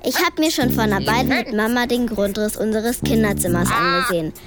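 A woman playing a lively, outspoken young sister in a family radio play. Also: on the high side, brisk, over music, natural voice. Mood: sincere.

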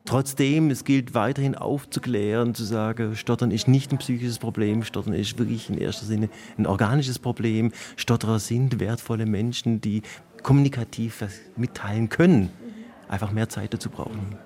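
A man's voice: fairly low pitch, fairly even intonation, normal speed, mellow. A man speaking as an expert guest in an interview, earnest and sprinkling in fillers. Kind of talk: interview statement.

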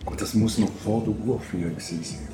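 A man's voice: fairly low pitch, fast, music in the background. A man from Switzerland chatting and reminiscing casually as an interviewer in conversation. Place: at a table with food being served. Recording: clear clatter of dishes and cutlery.

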